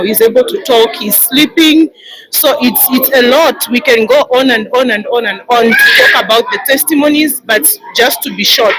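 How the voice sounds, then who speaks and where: A woman with a Zambian accent shares an unscripted church testimony. Her voice is on the high side, and a crowd is clearly heard behind her. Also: poor audio. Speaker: church member giving a testimony. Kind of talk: spontaneous spoken testimony.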